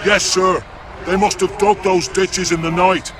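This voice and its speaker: An actor playing a deferential army captain explaining himself in a video game. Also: male voice, high voice, little pitch movement, unhurried. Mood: defensive. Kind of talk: dramatic video game acting. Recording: loud battle crowd behind.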